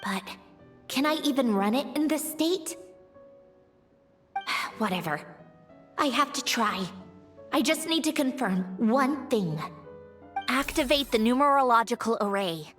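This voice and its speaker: A woman's voice: a little high, animated, unhurried, long pauses, fairly bright tone, soft background music. A woman voicing a sardonic, weary mechanical puppet researcher in a video game, speaking in a dry, analytical way. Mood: determined, strained. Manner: analytical, dry, clipped self-talk.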